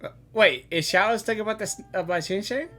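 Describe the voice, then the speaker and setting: A woman's voice: mid-range voice, fairly quick, a bit bright, faint music behind. A woman giving casual, informal reaction commentary as she plays through a game, exclamatory and giggly. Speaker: gaming reaction streamer.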